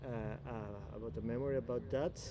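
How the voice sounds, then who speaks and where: A man's voice: medium pitch, fairly even intonation, fast talker, highs cut off, noisy background. A man from Venezuela in his thirties with a Venezuelan Spanish accent, speaking English as a second language. He talks reflectively in a casual interview, laughs often and sometimes searches for the right English word. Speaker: guest.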